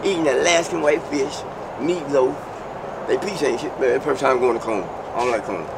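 A man's voice: mid-range voice, brisk, very noisy. A middle-aged man, chatting casually and laughing on the street, recorded outdoors. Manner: laid-back, laughing, slangy, trailing off. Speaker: interviewee on the street. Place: outdoors by a building and parking lot.

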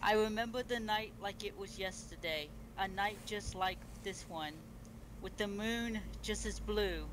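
High-pitched, man acting as solemn fantasy empress, formal halting delivery, noisy game voice chat. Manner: formal, halting speech-making. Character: Empress Dragon, solemn ruler of fantasy beastmen. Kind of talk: online game role-play speech.

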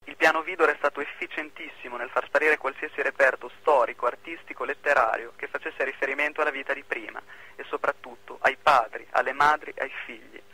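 A man's voice: mid-range voice, fairly even intonation, fairly quick, sharp tone, husky, rough recording. A young man playing a mysterious, urgent informant over the telephone in an amateur radio drama. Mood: grave, urgent. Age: young adult.

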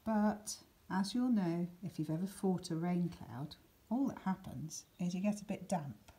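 A woman reads a picture book to children in a lowish, mellow voice. Her delivery is expressive and deliberate, with plenty of movement in pitch. There is faint noise in the recording. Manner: deliberate, expressive reading with dramatic emphasis and hushed words. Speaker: teacher reading a picture book aloud. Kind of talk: expressive scripted storybook narration for children.

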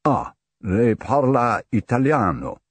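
A man's voice: medium pitch, very animated, slow pace, breathy edge, no room sound, pitched above the performer's own voice, exaggerated voice. A man voicing a suave Italian doctor in an audiobook, ceremonious, self-righteous and stiffly polite. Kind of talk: audiobook character dialogue.

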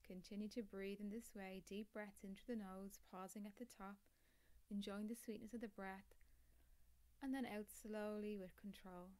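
A woman leading a guided meditation as a yoga nidra teacher, calm and soothing, with measured phrases, long pauses and a soft voice that turns breathy at phrase ends. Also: medium pitch, poor audio. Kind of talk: guided meditation, scripted instruction.

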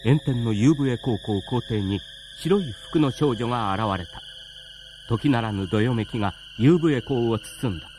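A man's voice: medium pitch, moderate pace, darkish tone, soft background music, close to an everyday voice. A man narrating a scripted radio drama as the storyteller of an eerie tale. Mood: solemn.

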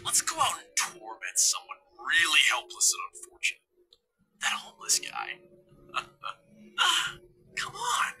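Sarcastic teen bully, high voice, wildly swinging pitch, game cutscene. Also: male voice, wildly swooping pitch, unhurried, pauses often, sharp tone, slightly rough, soft background music, heavily acted. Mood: scheming. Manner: mocking, sarcastic, theatrical. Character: sneering scheming teen schoolboy bully. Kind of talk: video game cutscene acting.

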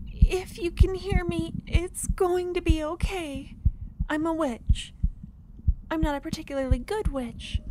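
A woman playing a shy, kind-hearted young witch in an ASMR fantasy romance roleplay, speaking softly and hesitantly, often close to a whisper. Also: very high-pitched, background noise. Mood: startled, anxious. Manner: soft, breathy, hesitant, often dropping to a whisper. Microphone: close ASMR microphone.

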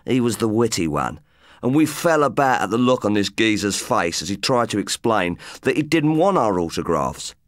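A man's voice: lowish voice, very animated, normal speed, no echo, clean studio sound. A man playing a boastful English rock guitarist, telling his tale in chatty, matey slang, as in an audiobook. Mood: cocky, reminiscing. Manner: cocky, matey, colloquial. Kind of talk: first-person audiobook storytelling in colloquial slang.

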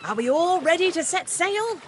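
Lively high-pitched otter sea captain. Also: female voice, normal speed, a little hiss or hum. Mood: jolly, hearty. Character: cheerful welcoming female otter ship captain. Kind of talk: children's cartoon game acting.

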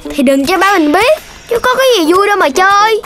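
Extremely high, cheeky cartoon puppy. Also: lively intonation, brisk, noisy background. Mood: excited. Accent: southern Vietnamese. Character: little Dalmatian puppy child, cheeky, excitable, teasing. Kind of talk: children's cartoon dubbing. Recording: loud music over the voice.

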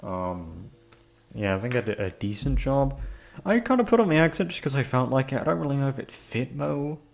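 A man's voice: medium pitch, sing-song, brisk, creaky. Rambling casual young man. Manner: rambling, self-deprecating, many fillers. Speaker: voice actor. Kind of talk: casual outro chat.

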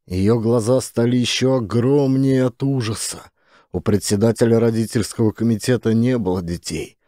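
A man's voice: medium pitch, moderate pace, mellow, no echo, studio quality. A man reading scripted narration for a satirical family comedy audiobook. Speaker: narrator, actor.

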